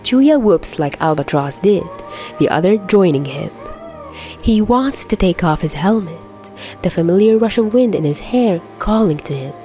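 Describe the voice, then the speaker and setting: A woman reading a story aloud as an expressive fiction narrator. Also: lowish voice, moderate pace, faint music behind.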